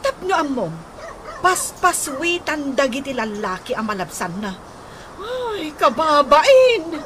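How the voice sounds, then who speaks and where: A woman's voice: high-pitched, very animated, slow pace, fairly bright tone, slight background noise, full character voice. A woman playing a scornful, gossiping sister-in-law in a radio comedy drama, with an Ilocano accent. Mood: scandalised, mocking.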